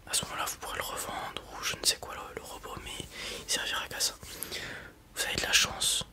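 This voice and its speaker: A man playing a blunt, casual space robot merchant in a whispered ASMR roleplay, muttering hesitantly in a breathy voice. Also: fairly quick, close to an everyday voice. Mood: casual, matter-of-fact. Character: gruff, casual male space robot merchant, wary but kind.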